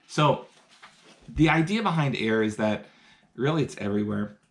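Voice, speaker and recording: A man's voice: medium pitch, animated, moderate pace. A man teaching science in a live-streamed lesson from home, talking chattily and eagerly as he works through hands-on experiments. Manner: enthusiastic, chatty, explains step by step while doing experiments. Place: home, indoors.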